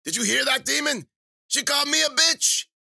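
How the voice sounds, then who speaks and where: Man acting a mad old witch woman, high-swooping, loud, crude, taunting, cackling, storybook villain. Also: huge pitch swings, moderate pace, vocal fry, husky, no room sound, clean studio sound, full character voice. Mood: gleeful cackling.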